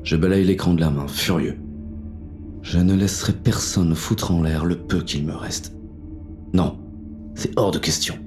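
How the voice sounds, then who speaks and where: A man's voice: sits low, measured pace, pauses often, over music. A man narrating in the first person as a weary, grim inspector in an audio drama. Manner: weary, grim inner monologue.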